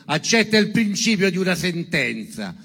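A man giving a dramatic, declaimed reading of a statement in emphatic, measured phrases. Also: high-pitched, big pitch swings, vocal fry, fairly bright tone, breathy edge. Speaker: performer reading a testimony. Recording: long reverberant hall echo.

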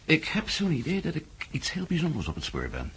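A man's voice: a little high, wildly swooping pitch, a bit bright, a little hiss or hum, full character voice. A man acting in a radio drama as a headstrong, irritable husband, muttering and impatient. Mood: preoccupied. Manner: impatient, muttering, quick to grumble. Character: headstrong, irritable husband and amateur investigator of ancient signs.

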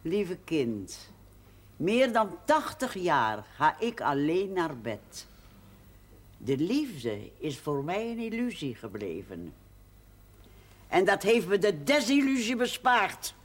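Slow, solemn old pious aunt. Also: female voice, on the low side, big pitch swings, husky, exaggerated voice. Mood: weary, resigned. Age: 80s. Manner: solemn, declamatory reciting of Bible verses. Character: pious old spinster aunt, sardonic scripture-quoting, misunderstood. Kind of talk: television drama acting. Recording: faintly noisy 1970s television soundtrack.

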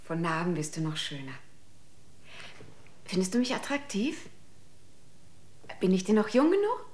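Coy young visitor, lilting voice. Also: female voice, fairly low pitch, sing-song, measured pace, a little mellow. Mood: coaxing.

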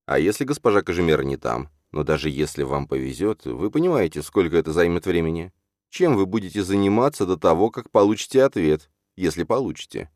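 A man voicing a courteous, weary male coachman and healer in an audiobook, measured, wry and stubbornly dutiful. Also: low-pitched, darkish tone, dry recording, clean studio sound, pitched above the performer's own voice. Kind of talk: audiobook character voice.

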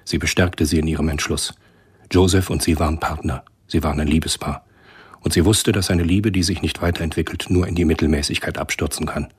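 An actor playing the grave narrator of a radio spy thriller, telling the story in a sober, measured way. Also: male voice, a bass voice, fairly even intonation, slightly rough, exaggerated voice. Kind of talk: scripted literary narration in a radio play.

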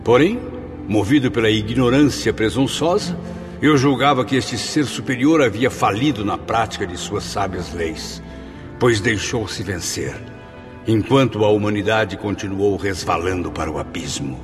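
A man's voice: fairly low pitch, moderate pace, natural voice. A man acting in a radio drama as a proud, skeptical intellectual spirit, speaking in a formal, theatrical, reflective way. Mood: brooding, regretful. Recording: long reverb, music behind the voice.

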